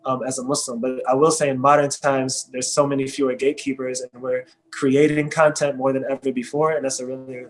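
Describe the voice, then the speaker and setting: A man's voice: medium pitch, flat delivery, a little fast, few pauses, low-quality recording. A young man, a hip hop artist, speaking candidly and casually with some slang on a video call panel. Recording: faint background noise.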